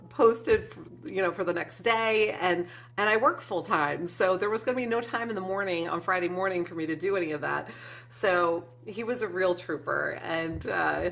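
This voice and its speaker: Bright-voiced woman chatting to camera. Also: fairly low pitch, gravelly. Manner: warm and emotional, frequent ums, gestures with hands. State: teary at moments. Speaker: craft channel host. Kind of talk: heartfelt casual vlog to viewers. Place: indoor craft room with shelves and flowers.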